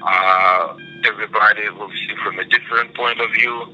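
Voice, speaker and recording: A man, interpreting in measured, halting phrases on a conference call. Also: lowish voice, steady tone. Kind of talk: consecutive interpreting on a press call. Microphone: telephone conference call. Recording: muffled phone line, faint noise.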